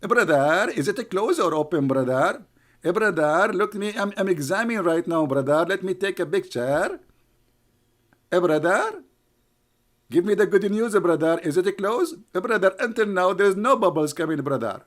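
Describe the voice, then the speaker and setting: A man's voice: high voice, lively intonation, normal speed. A man mimicking a pestering male online commenter who keeps repeating himself. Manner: whiny, repeats "brother" over and over.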